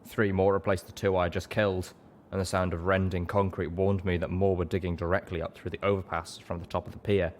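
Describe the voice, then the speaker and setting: A man's voice: sits low, level tone, fairly quick, slight background noise. A man reading a story aloud as scripted, dramatic narration. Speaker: audiobook narrator.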